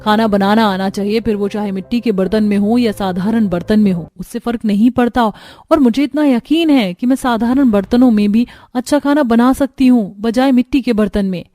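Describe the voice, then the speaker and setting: A woman voicing a young Indian daughter-in-law, polite but easily frustrated, in an earnest, expressive cartoon family story. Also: a little high, a little fast, few pauses, soft background music, natural voice. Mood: confident.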